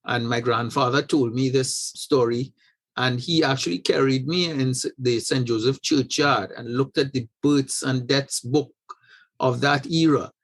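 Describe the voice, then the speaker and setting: A man from Trinidad and Tobago talks as an interview guest, spinning a family anecdote at an easy, unhurried pace. He often circles back and restarts his phrases. His voice sits at an ordinary middle pitch for a man. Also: steady tone, a bit bright. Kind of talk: conversational storytelling.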